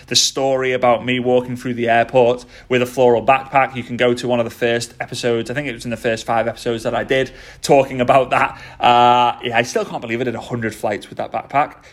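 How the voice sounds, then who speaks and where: A man in his thirties hosting a motivational podcast, speaking with energy and repeating key phrases for emphasis. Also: mid-range voice. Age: 30s. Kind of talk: motivational talk.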